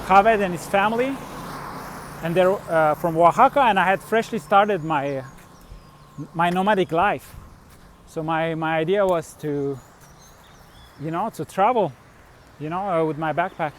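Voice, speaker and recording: A middle-aged man from Turkey and Switzerland tells personal travel stories casually, in a halting, rambling way with trailing thoughts. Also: high-pitched, fairly bright tone, a little hiss or hum. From born in Turkey, grew up in Switzerland. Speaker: vlogger, musician and traveler. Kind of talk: casual unscripted personal storytelling.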